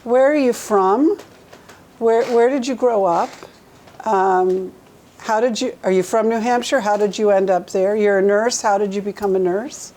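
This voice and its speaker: Mellow-voiced woman hosting a talk show. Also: mid-range voice, fairly even intonation, a little slow, a little hiss or hum. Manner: leading, chatty questioning with restarts. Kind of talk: conversational interview.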